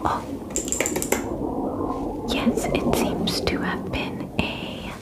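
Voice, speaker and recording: A young woman voicing a gentle, clinical alien examiner in a breathy ASMR whisper, with halting, formal phrasing. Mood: curious, puzzled. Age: young adult. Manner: slow, halting, formal phrasing without contractions. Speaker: ASMR roleplay performer. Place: small bedroom, indoors. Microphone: close microphone.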